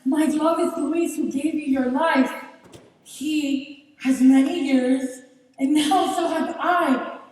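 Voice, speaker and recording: Woman playing a high, pleading, magically aged beauty. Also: fairly even intonation, slow pace, darkish tone. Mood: earnest pleading. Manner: earnest, pleading, dramatic. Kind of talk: stage play acting. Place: large indoor theater stage. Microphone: distant camera. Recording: echoey theater stage from far off.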